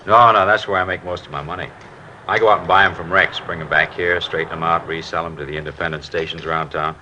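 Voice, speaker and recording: On a slightly noisy, dull vintage broadcast, a slippery American garage owner in a crime drama. He is folksy and ingratiating, rattling along brightly in a fairly low voice. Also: fairly even intonation, quick pace. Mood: folksy, friendly. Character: chatty, folksy, slippery male garage owner feigning innocence. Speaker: actor in a police radio drama. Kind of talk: mid-century American crime drama acting.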